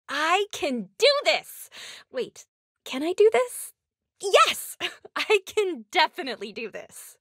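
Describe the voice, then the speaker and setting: A bubbly young princess from a video game. Her voice is very high and swings wildly up and down with breathless, sweet eagerness. The recording is dry and close. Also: slow pace, no echo, full character voice. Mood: self-encouraging. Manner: breathless, excitable, sweet, expressive. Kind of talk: animated video game character voice clips.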